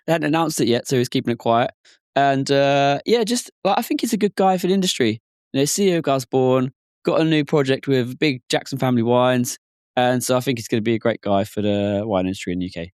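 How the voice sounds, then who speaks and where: A man co-hosting a podcast in casual chat, his voice hoarse and croaky, rambling with many fillers. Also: mid-range voice, fast talker, darkish tone, no room sound, studio quality. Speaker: podcast co-host.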